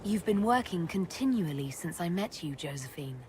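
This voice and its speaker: Woman acting a calm, considerate fantasy leader. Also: fairly low pitch, normal speed, lightly acted. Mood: kind. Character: calm, supportive female leader of a fantasy order. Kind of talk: video game cutscene acting. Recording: game capture with background ambience noise.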